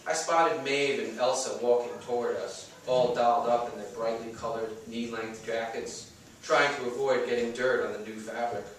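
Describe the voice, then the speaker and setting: A man's voice: medium pitch, steady tone, moderate pace. A man reading first-person fiction aloud as its author at a live bookstore event. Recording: echoey room, distant mic, faint noise.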